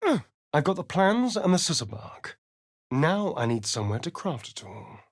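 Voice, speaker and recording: An actor voicing a sly, vain vampire rogue for a video game, with an English accent and an arch, theatrical, drawling delivery. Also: male voice, fairly high pitch, sing-song, normal speed. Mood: wry, sardonic.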